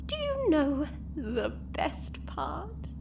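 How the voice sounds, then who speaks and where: A woman's voice: sits high, huge pitch swings, drawn-out words, creaky, a little mellow, a little hiss or hum, exaggerated voice. Woman playing a gloating time-travelling villainess. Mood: taunting. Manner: taunting, sing-song, theatrical. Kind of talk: fan audio drama acting.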